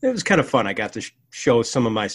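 A man's voice: mid-range voice, big pitch swings, fast talker, slightly rough. An American man appearing as a podcast guest, modest and friendly, talking with plenty of fillers. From United States. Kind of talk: casual chat.

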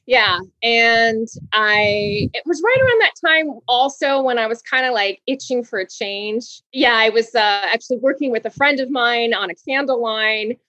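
A woman in her forties from Hawaii, a guest telling her story in an interview, bubbly and quick to laugh, restarting words. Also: on the high side, sharp tone, no echo, muffled recording.

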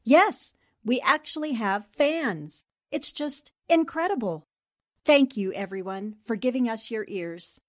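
A woman presents scripted material for a radio drama company. Her voice sits a little higher than average for a woman, and she reads in a prepared, recited style. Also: lively intonation, a little slow, no echo, clean studio sound. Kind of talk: scripted holiday greeting and essay reading.

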